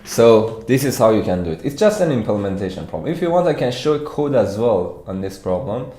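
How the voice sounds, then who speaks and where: A man from Iran with a Persian accent, giving an informal livestreamed walkthrough as a programming instructor, thinking aloud haltingly. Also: lowish voice, sing-song, mellow. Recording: echoey room.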